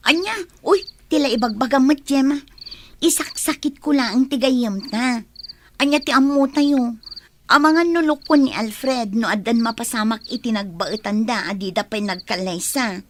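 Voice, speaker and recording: A woman's voice: a little high, moderate pace, slight background noise, natural voice. An actress with an Ilocano accent playing an anxious, jealous young woman in a radio drama. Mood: alarmed, defensive.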